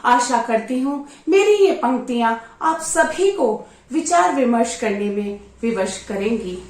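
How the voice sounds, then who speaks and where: Lively-voiced woman poet, echoey. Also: fairly high pitch, measured pace. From central India. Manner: formal self-introduction before reciting. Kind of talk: poetry recitation.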